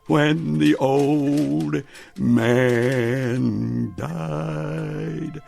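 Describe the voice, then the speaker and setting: Old grandpa clockmaker, real gravelly, slow, mutters and fusses, radio drama. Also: male voice, mid-range voice, heavily acted. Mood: wistful singing. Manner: fussy, muttering, hesitant. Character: devoted, absent-minded elderly clockmaker grandfather.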